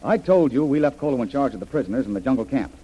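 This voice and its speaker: An actor playing a decisive, troubled adventurer man with a husky voice in an old-time radio adventure. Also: mid-range voice, expressive, very fast, mellow. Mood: worried. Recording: dull old radio recording.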